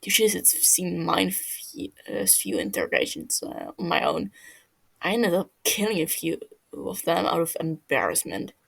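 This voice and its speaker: A woman voicing a calm, menacing, wry male torturer with a measured, growling delivery in a story reading. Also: lowish voice, gravelly, husky, heavily acted, rough recording. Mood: reassuring, sheepish.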